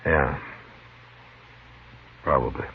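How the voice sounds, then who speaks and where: A man playing a weary, grave frontier marshal, measured and laconic, in a 1950s radio Western. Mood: weary, resigned. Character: weary, grave Old West town marshal. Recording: noisy, tinny 1950s radio recording.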